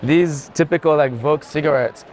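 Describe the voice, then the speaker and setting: A man giving unscripted art tour commentary in a halting, explanatory way. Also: a little high, darkish tone, rough recording. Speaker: art gallery director in conversation. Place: indoor art fair hall. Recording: clear background noise of busy venue.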